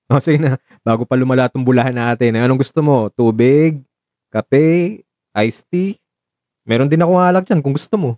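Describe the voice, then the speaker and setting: Male actor, young man, Filipino accent, playful banter, easily flustered, radio drama dialogue, medium pitch, dry sound. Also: normal speed, mellow, no echo, lightly acted. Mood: playful, bashful. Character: teasing, playfully flustered young man hosting his date at home.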